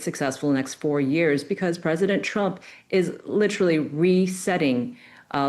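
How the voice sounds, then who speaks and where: A woman with a notably low voice gives upbeat news commentary. She talks in a chatty, enthusiastic way, with fillers and restarts scattered throughout. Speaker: political commentator.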